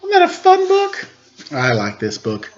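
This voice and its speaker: Animated man reading a picture book. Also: very high-pitched, measured pace. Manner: animated, expressive read-aloud. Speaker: storyteller for children. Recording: slightly dull lo-fi recording.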